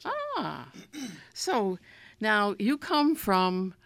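A woman hosts a casual radio interview in a dry studio. She talks in a chatty, informal way, with a medium-pitched voice. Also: huge pitch swings, drawn-out words, vocal fry, a bit bright, no echo. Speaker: radio host.

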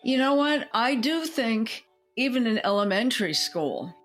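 A woman's voice: a little high, sing-song, unhurried, a bit bright. A woman speaking casually in a group discussion. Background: music.

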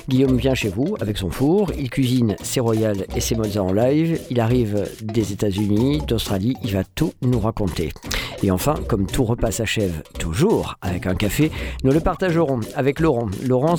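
A man's voice: medium pitch, darkish tone. A man hosting a radio food show, speaking in a lyrical, chatty way with frequent restarts from a studio microphone. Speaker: radio host.

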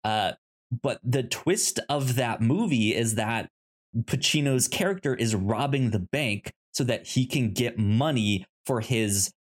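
A man talks as a podcast co-host in a casual film discussion, at an unhurried pace and a middling pitch. His delivery is halting, with stammers and repeated words as he works toward his point. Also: expressive.

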